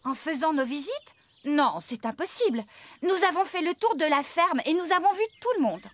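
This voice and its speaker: Une comédienne qui joue une fermière enjouée et attentionnée, au ton expressif et amical, dans un dessin animé pour enfants. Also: female voice, sits high, expressive, moderate pace, a bit bright. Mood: concerned. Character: cheerful, caring adult woman farmer. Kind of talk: children's cartoon dub acting.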